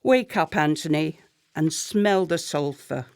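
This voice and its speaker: A woman playing a haughty, scornful and ambitious wife in a radio play, speaking in a measured, cutting way. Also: low voice, a little mellow, smooth. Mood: cool, haughty. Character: cold, scornful, ambitious wife of a failing politician.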